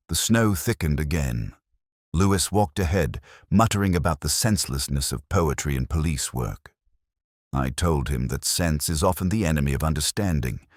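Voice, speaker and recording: A man narrating a detective mystery in the first person, with a measured, brooding, literary delivery. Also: very deep voice, dry recording, clean studio sound.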